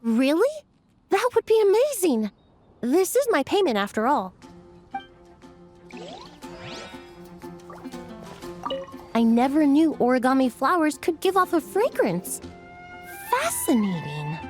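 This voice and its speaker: Game part, eager, polite young woman, high voice, very lively inflection, curious and expressive. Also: moderate pace, over music. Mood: delighted. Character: bright, inquisitive young woman, polite and enthusiastic. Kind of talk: video game character acting.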